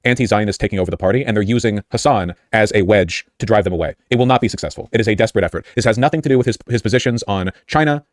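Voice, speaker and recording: Male political commentator, lowish voice, rapid-fire delivery, combative and sarcastic, arguing off the cuff. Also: no echo, clean studio sound. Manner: emphatic, argumentative, sarcastic. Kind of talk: off-the-cuff political commentary reacting to a news clip.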